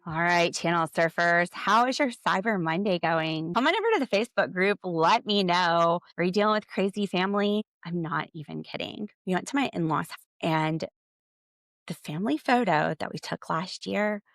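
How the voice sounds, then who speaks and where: A woman's voice: on the low side, normal speed, no echo. A woman hosting a podcast and recapping reality TV with sassy, snarky commentary. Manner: snarky, sassy, animated asides. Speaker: podcast host.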